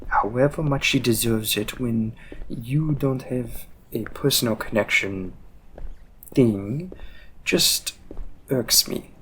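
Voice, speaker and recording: A man playing a cocky, loyal, world-weary assassin with a wry, teasing, drawn-out delivery in a roleplay audio drama. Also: mid-range voice, a bit bright, slight background noise, rough recording. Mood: concerned, exasperated.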